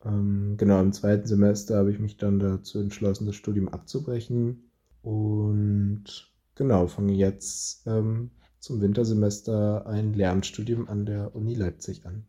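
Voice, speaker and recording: A man in his twenties records a phone voice message introducing himself. His voice is very dark and low. He speaks hesitantly, with pauses and fillers. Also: level tone. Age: 20s. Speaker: student.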